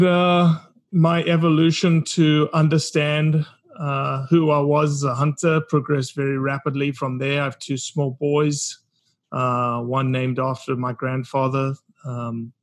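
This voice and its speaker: A man in his forties from South Africa with a South African accent, telling his story earnestly as a podcast guest. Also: a little high. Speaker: podcast guest, documentary founder. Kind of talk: casual interview talk.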